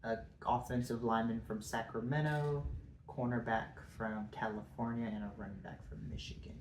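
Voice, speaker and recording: A man's voice: medium pitch, fairly even intonation, normal speed. A man with a congested voice, chatting casually about football as a podcast co-host, hesitant with many ums. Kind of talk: casual sports chat. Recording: echoey room, faint noise.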